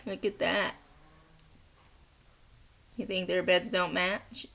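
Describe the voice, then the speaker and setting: A woman reading a bilingual children's picture book aloud as a teacher, in a deliberate, expressive way. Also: lowish voice, creaky. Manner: deliberate, expressive, acts out short quotes; encouraging asides and questions to young listeners.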